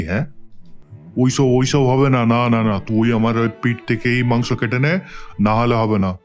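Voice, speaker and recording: A man's voice: medium pitch, darkish tone, close to an everyday voice. A man voicing a proud but nervous village wrestler in a cartoon, dramatic and given to repeating words. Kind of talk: cartoon character acting.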